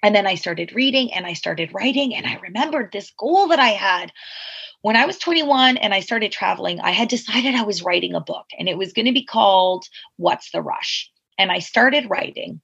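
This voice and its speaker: A woman's voice: mid-range voice, expressive, moderate pace, gravelly, fairly bright tone, husky, muffled recording. A woman in her forties, a podcast guest, telling her life story candidly in a reflective, rambling way full of asides. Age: 40s.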